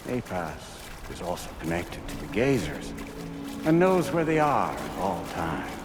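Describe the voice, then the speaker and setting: An actor playing a calm, grandiose spokesman for a merged collective of souls, speaking in measured, formal oratory in a game cutscene. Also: low-pitched. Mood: coolly controlling. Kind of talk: video game cutscene monologue.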